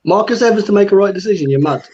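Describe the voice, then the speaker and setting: A man's voice: high-pitched, animated, rapid-fire, darkish tone, muffled recording. A man in his thirties, a fan guest on a football chat show, giving blunt, rambling opinions. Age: 30s.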